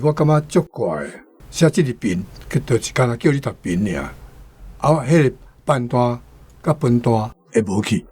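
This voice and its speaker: Husky man explaining words casually. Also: mid-range voice, darkish tone. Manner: rambling, explanatory, with fillers. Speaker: guest commentator. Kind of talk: casual explanation of word usage.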